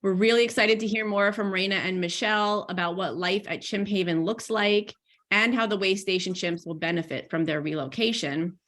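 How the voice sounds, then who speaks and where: A woman's voice: mid-range voice, moderate pace, fairly bright tone. A woman hosting a video-call briefing as program director, a little stuffy from a cold, upbeat with small restarts.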